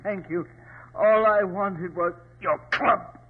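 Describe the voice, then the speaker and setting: A scheming little gentleman killer in an old radio play. His voice is high and his manner is hesitant and oh-so-polite. Heavy noise sits on the vintage recording. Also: slow pace, narrow, tinny audio. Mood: sinister. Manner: hesitant, over-polite, feigning helplessness. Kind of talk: 1940s radio drama acting.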